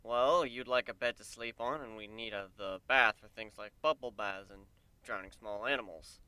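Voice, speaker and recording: A man's voice: on the low side, normal speed, bright, forceful tone, clean tone, no echo. A man voicing a male pegasus pony in a story reading, plainspoken, folksy, wry and earnest.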